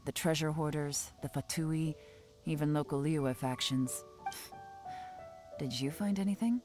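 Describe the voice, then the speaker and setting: Low-voiced, composed shrewd investigator. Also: female voice, music in the background. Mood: calm, analytical. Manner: measured, poised, analytical. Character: cool, composed, shrewd young female investigator. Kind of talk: video game voice acting.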